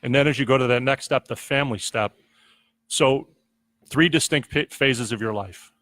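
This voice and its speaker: A man hosting a podcast, asking measured, thoughtful interview questions. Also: medium pitch, fairly bright tone, no room sound.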